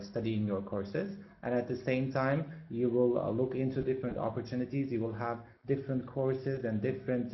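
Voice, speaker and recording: A man from Turkey, an MBA student, introducing himself on a webinar and hesitating often on fillers. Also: fairly low pitch. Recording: echoey, dull lo-fi webinar audio.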